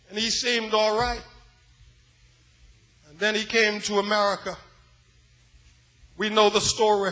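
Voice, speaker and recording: A man from West Africa preaching an impassioned sermon, dramatic, moving between hushed gravity and loud, repeated cries. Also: sits high, rough recording. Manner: dramatic, swinging from hushed grave passages to shouted repetition.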